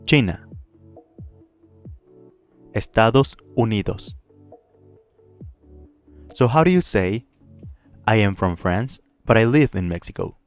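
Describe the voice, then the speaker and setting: Low-voiced man teaching Spanish drills. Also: expressive, soft background music. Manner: clear, slow model pronunciation with pauses to repeat. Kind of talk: language lesson with drills.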